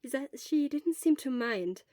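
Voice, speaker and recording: Casting: woman narrator for an audiobook, soft, slightly high voice, dry close recording. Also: animated, measured pace, no room sound. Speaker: fan fiction reader.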